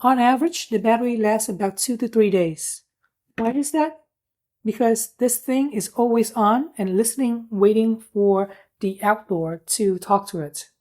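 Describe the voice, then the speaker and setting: A woman's voice: fairly high pitch, measured pace, dark tone. A woman giving a casual hands-on product review, explaining things in an informal, plain-spoken way.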